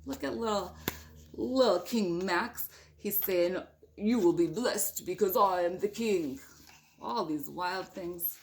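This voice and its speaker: Creaky-voiced woman reading to little kids. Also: lowish voice, slow pace. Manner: playful, chatty, talking to small children. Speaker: storybook reader. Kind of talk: animated read-aloud of a picture book with casual asides to young listeners.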